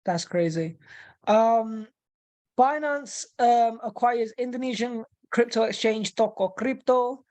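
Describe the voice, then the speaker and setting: A woman hosting a casual webinar, reading through a quick news roundup and often repeating words while she thinks. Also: fairly high pitch, darkish tone, no room sound. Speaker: host. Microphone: video call mic.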